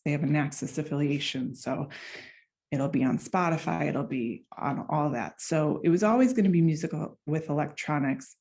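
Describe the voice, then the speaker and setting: A young woman with an American accent talks through her research at a symposium. Her voice sits low and warm, and she explains things in a loose, conversational way. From United States. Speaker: doctoral researcher and clarinetist presenting. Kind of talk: academic conference presentation.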